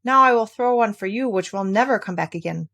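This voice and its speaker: A woman's voice: mid-range voice, brisk, creaky, a bit bright. A cocky little fairy-tale tailor, read by a woman. He is boastful and breezy, a clever small man who brushes off every challenge.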